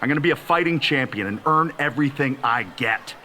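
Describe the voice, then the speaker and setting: A man's voice: medium pitch, lively intonation, brisk, slight background noise. A middle-aged man playing a proud, determined veteran champion wrestler in a video game, speaking in an earnest promo style. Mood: defiant. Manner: earnest, forceful.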